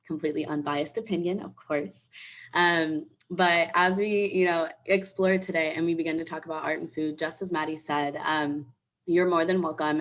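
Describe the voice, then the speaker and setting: A woman co-hosting an online event, speaking informally to participants over a video call. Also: sits low. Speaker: co-host.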